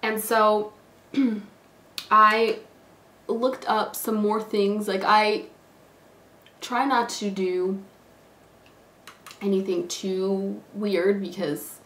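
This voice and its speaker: A hoarse, stuffed-up young woman who is sick with a cold, chatting casually and rambling with lots of fillers while doing her makeup. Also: mid-range voice, fairly even intonation, extremely slow, long pauses, a bit bright, a little hiss or hum. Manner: rambling, filler-heavy, lots of "like" and "you know". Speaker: beauty vlogger. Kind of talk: casual chatty get-ready-with-me talk. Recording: echoey room.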